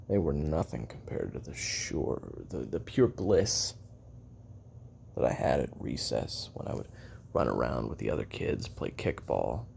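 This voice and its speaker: A bitter, world-weary male assassin, played by a man in an audio drama confession. His low voice is full of gravel and swings widely as he broods and swears between heavy pauses. There is faint noise behind him. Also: a little mellow, heavily acted. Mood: wistful, anguished. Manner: brooding, profane, halting delivery with heavy pauses and sighs.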